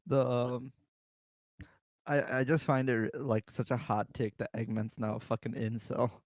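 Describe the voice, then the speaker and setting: A man's voice: mid-range voice, flat delivery, a little slow, dry recording. A man co-hosting a podcast, giving blunt opinions in casual, profanity-sprinkled chat. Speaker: podcast co-host. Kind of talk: casual movie discussion chat.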